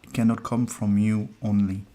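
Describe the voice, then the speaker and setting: A man hosting a casual live numerology stream, soft-spoken and hesitant as he thinks aloud. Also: lowish voice, little pitch movement, normal speed. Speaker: numerologist host.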